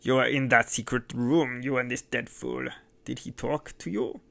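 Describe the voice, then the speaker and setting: A man's voice: medium pitch, steady tone, normal speed, gravelly, fairly bright tone. A man voicing a mad, vengeful male innkeeper who roars and laughs wildly. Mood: mad menacing. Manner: roaring, laughing wildly, mumbling.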